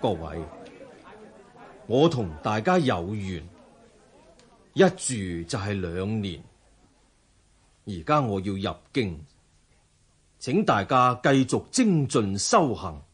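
An actor playing a humble, dignified Buddhist monk in a radio drama, speaking in a measured, formal and modest way. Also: male voice, on the low side, big pitch swings, slightly rough, some chatter behind, full character voice. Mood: calm, courteous. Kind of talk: Cantonese radio drama acting.

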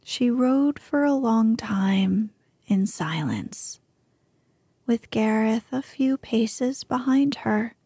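Woman whispering a slow bedtime story. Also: a little high, expressive, husky, no echo. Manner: soft whisper, unhurried. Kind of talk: whispered ASMR story reading.

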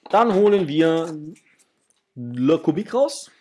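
A man's voice: a little high, very animated, slow pace, darkish tone, a little hiss or hum. A man, chatting informally and enthusiastically as he presents a casual unboxing review at home. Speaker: toy review channel host. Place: small home room, indoors.

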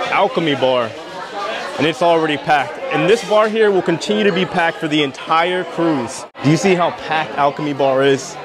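A man in his thirties casually narrating a travel vlog to viewers, recorded at arm's length while walking around. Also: fairly high pitch. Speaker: travel vlogger. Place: cruise ship interiors and open decks. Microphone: handheld phone or camera at arm's length.

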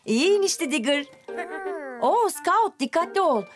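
A woman's voice: very high voice, animated, moderate pace. A woman narrating a children's cartoon in an encouraging, exclamatory way, as if talking to the characters. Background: music.

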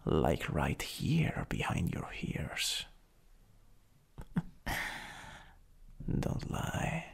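A man with an Italian accent playing a jealous, flirtatious supervillain in an intimate ASMR roleplay, breathy and often whispering. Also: fairly low pitch, heavily acted. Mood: teasing, intimate. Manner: slow, teasing, lingering delivery. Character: jealous, possessive, teasing flirtatious male supervillain.

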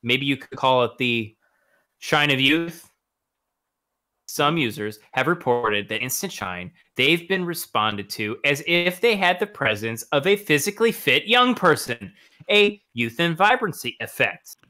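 Bright, animated podcast co-host reading aloud. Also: medium pitch, measured pace. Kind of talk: reading absurd marketing copy aloud with asides.

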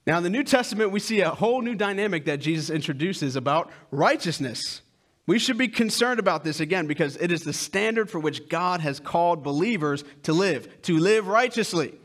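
A man in his thirties preaching a sermon on a church stage through a headset mic, with loud, emphatic lines and hushed asides. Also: high voice, lively intonation, fairly quick. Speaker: pastor.

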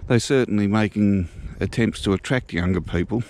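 A man giving a relaxed, casual walking tour outdoors, thinking aloud as he goes. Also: lowish voice, moderate pace. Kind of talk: casual walk-and-talk narration. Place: outdoors walking through a small country town.

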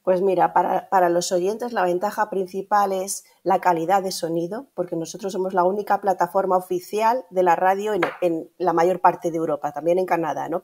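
A woman's voice: on the low side, a little mellow. A middle-aged woman with a Castilian Spanish accent explaining things as an interview guest, often ending phrases with tag questions. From Spain. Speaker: interview guest, radio streaming executive. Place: indoor room, video call. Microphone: video call.

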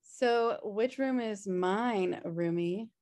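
Woman doing a chill sarcastic underachiever sister, mid pitch, comedy script read over video call. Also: very slow, darkish tone. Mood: wry, exasperated. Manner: wry, sarcastic. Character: messy, chill, quick-witted underachiever twin sister. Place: home room, video call.

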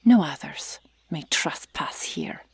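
A woman voicing a stern, commanding Amazon warrior in an ASMR roleplay, with formal, solemn phrasing and breathy half-whispers. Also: deep voice, animated, takes it slowly, no room sound, full character voice. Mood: stern, threatening.

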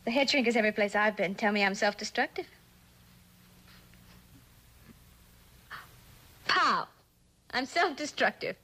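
A woman's voice: mid-range voice, lively intonation, brisk, sharp tone. A young American woman playing a brash, flippant, defensive eighteen-year-old orphan girl in a vintage suspense drama, slangy and wisecracking. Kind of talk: 1960s television suspense drama acting. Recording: noisy vintage TV drama soundtrack.

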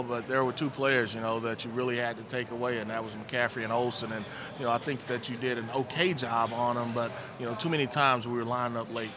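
A man in his forties, a former player, giving relaxed postgame football analysis into a handheld mic on a studio set. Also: mid-range voice, noisy background. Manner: relaxed, thoughtful, many "you know" fillers. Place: studio set with team backdrop, indoors. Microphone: handheld microphone.

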